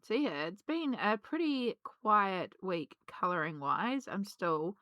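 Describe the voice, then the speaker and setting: A woman, slightly hoarse from a cold, chatting casually and rambling with many ums while she colours. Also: mid-range voice, lively intonation, takes it slowly, pushed and bright, no echo, studio quality. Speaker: colouring vlogger.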